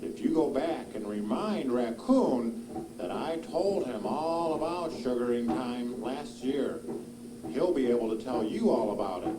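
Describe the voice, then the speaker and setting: A man's voice: medium pitch, animated, unhurried, natural voice. A man voicing a wise, kindly old grandfather maple tree in a children's puppet show, explaining genially. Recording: distant, echoing room with loud crowd noise.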